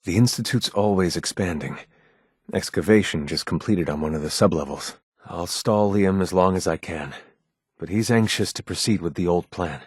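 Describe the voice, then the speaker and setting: An actor voicing a guarded, earnest male android rebel leader in a video game, with formal, measured, grave speech and a husky voice. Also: low-pitched, dry recording, clean studio sound. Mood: urgent, guarded. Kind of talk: scripted game character dialogue.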